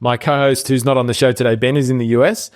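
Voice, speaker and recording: A middle-aged Australian man, co-host of a business training show, walks through a screen-share tutorial in a relaxed, explanatory way, thinking aloud with fillers. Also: medium pitch, fast.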